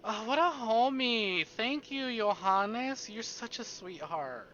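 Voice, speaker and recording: A man's voice: high voice, lively intonation, slow, highs cut off, noisy background. A man live streaming, reading a story aloud and adding playful, exclamatory asides to his chat. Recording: music behind the voice.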